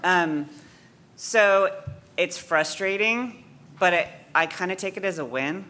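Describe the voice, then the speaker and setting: Male panelist, bright forceful voice, speaks plainly and casually. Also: sits high, takes it slowly. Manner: frank and conversational. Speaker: bookseller panelist. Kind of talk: conference panel talk. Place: conference session room.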